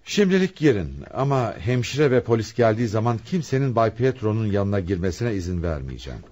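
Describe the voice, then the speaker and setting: An actor playing a commanding police commissioner in a radio play, authoritative, deliberate and probing. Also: male voice, fairly low pitch, very animated, darkish tone. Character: commanding male police commissioner leading a murder investigation. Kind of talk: radio theatre acting.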